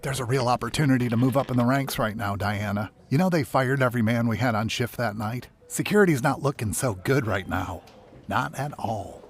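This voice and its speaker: A man's voice: mid-range voice, brisk, close to an everyday voice. A man playing a guarded, sarcastic hospital security worker in an audio drama, curt and weary. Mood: bitter, sarcastic. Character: bitter, guarded hospital security contractor, reluctant old friend.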